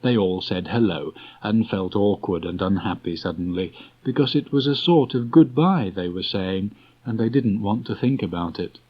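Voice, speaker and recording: A man's voice: on the low side, moderate pace, breathy edge. A man narrating a children's story from a script as an audiobook reader. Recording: dull restored cassette tape.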